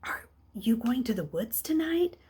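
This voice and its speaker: A woman's voice: a little high, sing-song, measured pace, dark tone, slightly rough, full character voice. A woman voicing an anxious schoolboy who speaks hesitantly and keeps asking questions. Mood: tentative.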